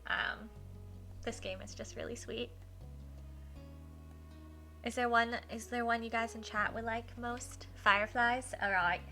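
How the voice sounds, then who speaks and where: Bright, higher-pitched woman livestreamer over music. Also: a little slow, pauses often. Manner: giggly, informal, animated asides to viewers. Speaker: livestreamer hosting a visual novel playthrough. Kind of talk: casual livestream chat mixed with expressive read-aloud.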